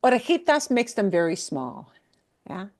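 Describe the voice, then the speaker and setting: A woman's voice: medium pitch, very animated, moderate pace, a little mellow. A woman teaching a language class, patiently explaining and repeating words. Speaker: Spanish teacher.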